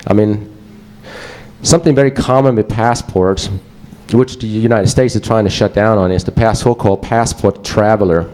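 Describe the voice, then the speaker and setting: Man with a Swedish accent and a low, warm voice, presenting casually and wryly to a crowd. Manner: casual, joking, digressive. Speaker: conference speaker. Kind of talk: presentation talk to a live audience.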